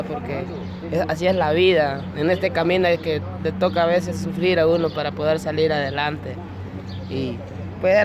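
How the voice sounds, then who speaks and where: A man giving casual spoken testimony as a migrant interviewee. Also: sits high. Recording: muffled outdoor recording, busy background.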